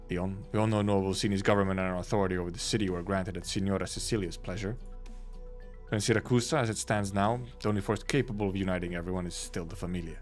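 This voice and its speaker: A man's voice: on the low side, natural voice. A man reading as a composed female city leader. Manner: calm, persuasive reading. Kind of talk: live reading of game dialogue.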